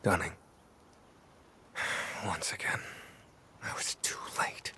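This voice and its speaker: A man voicing a stoic, brooding vampire hunter in a video game, speaking in a terse, grave and deliberate way. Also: sharp tone, whispered. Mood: somber. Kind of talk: scripted game dialogue.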